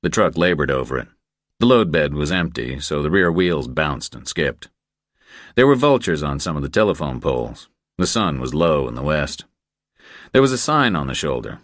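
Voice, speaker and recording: A man with an extremely low voice narrates a crime thriller audiobook. His delivery is measured and deliberate, and the sound is dry and close, free of room echo. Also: very animated, fairly bright tone.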